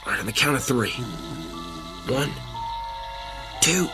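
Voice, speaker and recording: A man playing an excitable, skeptical camper in an audio drama, reacting with stammers. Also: medium pitch, takes it slowly, music in the background. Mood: skeptical, bossy. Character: excitable, skeptical man camping and filming a discovery.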